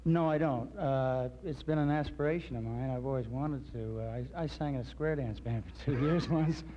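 Male actor guest, 30s, relaxed and chatty, dull lo-fi TV sound. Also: medium pitch, normal speed. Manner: relaxed, easygoing, many ums and you-knows. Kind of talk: 1960s television interview. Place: TV studio with curtain backdrop. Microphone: host's handheld mic. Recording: lo-fi 1960s TV broadcast with light hiss.

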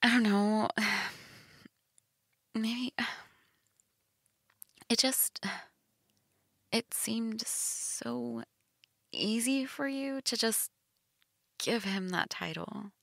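A woman's voice: fairly high pitch, animated, drawn-out words, pauses often, fairly bright tone, dry recording, studio quality, exaggerated voice. A young woman playing a shy, teasing goth stepsister in an intimate audio role-play, casual, hesitant and giggly. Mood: wistful, hesitant.

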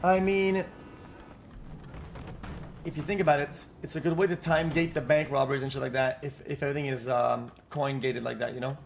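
A man's voice: mid-range voice, fairly even intonation, brisk, vocal fry, slight background noise. A young man chatting casually during a roleplay game, rambling and hedging with slang. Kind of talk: casual gaming chat with friends.

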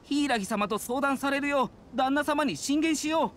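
Fretful, worn-down household servant. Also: male voice, very high voice, normal speed, fairly bright tone, a little hiss or hum. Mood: worried. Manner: fretful, grumbling. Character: worried, weary household servant. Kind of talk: anime game voice acting. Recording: music behind the voice.

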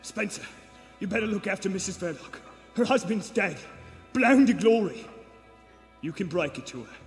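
An actor playing a brusque, authoritative senior police inspector in a radio play on a theater stage. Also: male voice, sits high, lively intonation, normal speed. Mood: businesslike. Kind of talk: 1940s radio play acting. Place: theater stage in front of an audience. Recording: live theater stage, long reverb, music behind.

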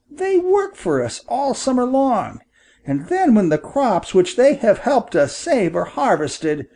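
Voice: medium pitch, darkish tone, slightly rough, pitched above the performer's own voice, heavily acted. A reader voicing a young farm boy who fiercely scolds a hunter while protecting a wounded bird, in an audiobook. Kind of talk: audiobook character voice.

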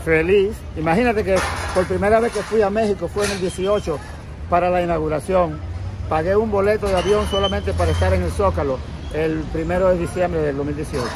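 A man's voice: high-pitched, steady tone, a little fast, crowd noise. A middle-aged man answering questions casually in a street interview. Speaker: interviewee at a rally. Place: outdoors on a city street corner. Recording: noisy street with passing cars.

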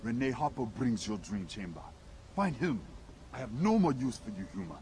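A man's voice: medium pitch, very animated, moderate pace, darkish tone, slight background noise, lightly acted. Man acting as a stern proud alien warrior. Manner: stern, commanding, contemptuous. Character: proud hostile male alien warrior, scornful of humans. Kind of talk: video game voice acting.

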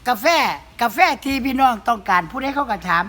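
An older woman speaks with an Isan accent from northeastern Thailand. Her voice is bright and sharp, and she talks briskly in a casual, joking style, like an entertainer presenting a product between bits of banter. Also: fairly high pitch. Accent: Isan, northeastern Thai.

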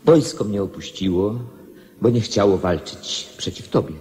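A man's voice: fairly low pitch, animated, moderate pace, warm tone, close to an everyday voice. A man playing a defeated young king in a radio play, penitent and pleading, with formal speech. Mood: bitter, resigned. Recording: long reverberant echo.

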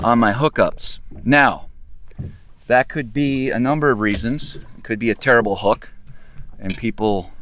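A man in his forties chatting casually to camera as a fishing vlogger, laid-back and halting as he thinks aloud, recorded outdoors on a boat. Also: mid-range voice, fairly bright tone. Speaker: fishing vlog host. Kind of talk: casual chat, explaining to camera. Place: open boat deck on a lake, outdoors. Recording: outdoor camera audio with clear boat noise.

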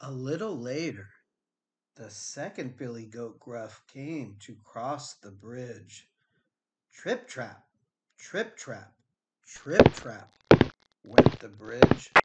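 A man's voice: mid-range voice, lively intonation, extremely slow, pauses often, a little mellow, rough recording. A middle-aged man narrating a children's storybook expressively, as a teacher reading aloud.

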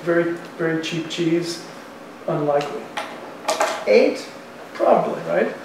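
A man in his sixties lectures like a professor thinking aloud, in colloquial language. His voice sits fairly high. It is picked up from some distance in a very echoey classroom, with faint background noise. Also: animated, a little slow, creaky. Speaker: university economics professor. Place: university classroom in front of chalkboard.

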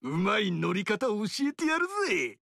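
A gravelly, blustering barbarian king. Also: high voice, very animated, moderate pace, fairly bright tone, no room sound, studio quality, heavily acted. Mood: boastful. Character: boisterous burly male barbarian king, proud. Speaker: warlord character. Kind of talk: video game character dialogue.

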